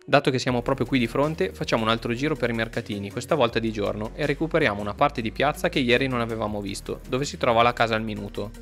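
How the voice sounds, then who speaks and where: A man's voice: mid-range voice, quick pace, creaky, hardly any pauses, fairly bright tone. A man narrating a travel vlog from a script. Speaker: travel vlogger. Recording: music under the voice. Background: background music.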